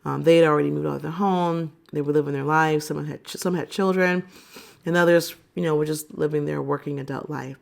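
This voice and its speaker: A woman of about fifty, recovering from a cold, talking to camera in a reflective, unhurried and candid way. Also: sits low, fairly even intonation, creaky. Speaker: vlogger. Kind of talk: personal reflective talk to camera.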